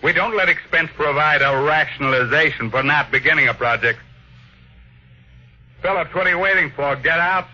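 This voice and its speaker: An actor playing a cold, imperious millionaire who gives brusque orders in an old-time radio drama. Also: male voice, fairly high pitch, normal speed, pushed and bright, slight background noise. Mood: curt, stern. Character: domineering, cold millionaire tycoon barking orders. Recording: dull vintage radio recording.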